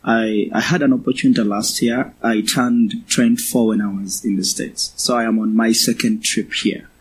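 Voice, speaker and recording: A man in his twenties with a Ugandan accent, giving a personal testimony as a guest speaker to a church, in a measured, halting way. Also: fairly low pitch, fairly even intonation, a little hiss or hum. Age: 20s.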